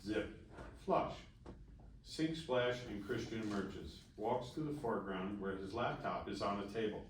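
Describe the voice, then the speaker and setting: A man's voice: on the low side, unhurried. A man narrating a screenplay at a table read, reading stage directions aloud. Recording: very echoey room, distant mic, faint noise.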